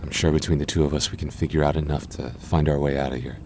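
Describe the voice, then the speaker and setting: A man voicing a gentle, shy goth boyfriend in an ASMR roleplay, soft-spoken and stammering as he reassures his listener. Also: a bass voice, little pitch movement, fast talker, a little hiss or hum. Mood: reassuring. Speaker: voice actor.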